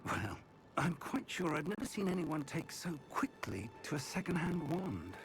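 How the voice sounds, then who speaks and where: A man's voice: a little high, big pitch swings, moderate pace, loud music behind the voice. An actor voicing a kindly older male professor in a video game, genteel, encouraging and wordy. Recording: game audio with ambient noise behind.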